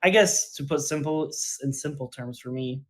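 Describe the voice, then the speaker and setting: A man's voice: mid-range voice, normal speed, a bit bright. A man from Puerto Rico, a podcast guest, speaking casually and hesitantly with many fillers. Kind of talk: casual chat.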